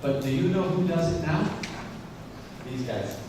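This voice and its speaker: Slow-talking male lecturer in echoey hall. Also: on the high side. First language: not English. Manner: lively, repeats points, checks in with "okay?". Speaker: guest lecturer. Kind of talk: lecture to students. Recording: echoey hall recorded from far off with noise.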